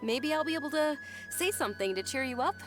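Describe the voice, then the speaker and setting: A woman playing a gentle, caring young noblewoman in a video game, speaking politely and with concern. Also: high-pitched, expressive, quick pace. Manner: polite, solicitous. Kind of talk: video game character acting. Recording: music behind the voice. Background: clear background music.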